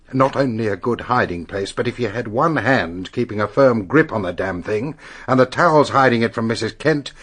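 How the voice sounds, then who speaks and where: A man's voice: lowish voice, a bit bright, slightly rough. A man playing a brusque, determined police investigator in a radio drama, blunt and businesslike.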